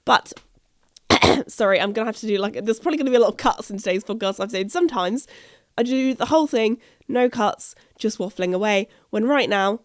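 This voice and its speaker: Chatty British woman podcast host, higher-pitched voice gone croaky from a chest infection, dry close sound. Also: fairly quick, no room sound. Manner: chatty, rambling, self-interrupting. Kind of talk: casual podcast chat. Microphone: podcast microphone.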